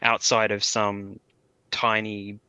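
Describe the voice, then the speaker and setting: A man with a lowish but sharp, bright voice, recorded dry without room sound. He talks casually, as a podcast co-host does among friends. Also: completely flat delivery, slow pace, clean tone, dry recording. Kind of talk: casual chat.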